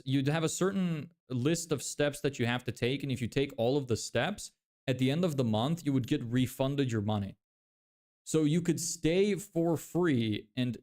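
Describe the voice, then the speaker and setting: A man's voice: medium pitch, a little mellow. Rambling man, native Lithuanian speaker. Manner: rambling, many fillers and restarts. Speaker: livestreamer reading chat. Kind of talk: casual livestream chat.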